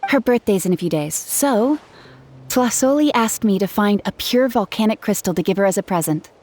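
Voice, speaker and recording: A woman's voice: mid-range voice, lively intonation, normal speed, darkish tone, lightly acted. A young woman voicing an earnest, friendly gem artisan in video game dialogue, telling her story in a chatty way. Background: game soundtrack music.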